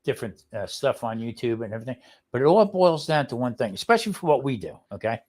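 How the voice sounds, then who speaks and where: A man's voice: medium pitch, big pitch swings, fast talker, a little mellow. A man with a New Jersey accent, chatting and telling stories as a livestream co-host, blunt and rambling, often checking that listeners follow. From New Jersey, United States. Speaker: live show co-host. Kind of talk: casual livestream chat and storytelling.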